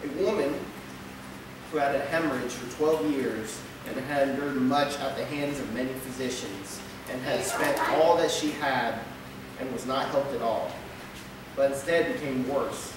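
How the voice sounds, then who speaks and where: A man's voice: medium pitch, fairly even intonation, unhurried, recorded from a distance, noisy background. Young man preaching in an echoey church. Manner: reads steadily from a script at the pulpit, with occasional stumbles and filler. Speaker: lay preacher. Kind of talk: sermon read from notes. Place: small church sanctuary. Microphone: pulpit mic, camera at the back. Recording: echoey church hall recorded from afar.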